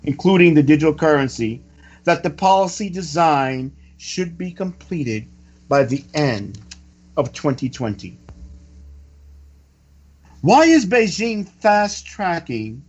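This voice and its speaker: A man reading a scripted news report with preacherly commentary, in a deliberate, emphatic and solemn way. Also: sits high. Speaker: religious report reader and commentator. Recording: dull lo-fi audio with music. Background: background music.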